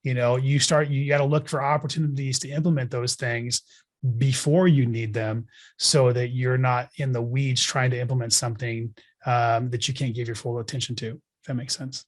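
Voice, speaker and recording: A man's voice: medium pitch, steady tone, moderate pace. Blunt, emphatic man in his forties. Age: 40s. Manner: blunt, emphatic, motivational, swears casually. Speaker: podcast guest, real estate investor. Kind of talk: casual interview talk.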